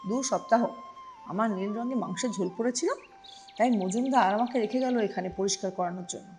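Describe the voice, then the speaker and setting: A woman's voice: mid-range voice, a little fast, darkish tone, soft background music, natural voice. A woman voicing a simple, easygoing male blue shirt in a story, chatty and reassuring in a plain-spoken way.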